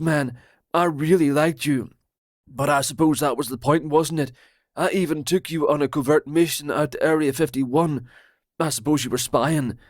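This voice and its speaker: A male narrator voicing a paranoid, excitable man from a trailer park, loud, fast and indignant. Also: a little high, no echo, clean studio sound, voice pushed up, close to an everyday voice. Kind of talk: audiobook character voice.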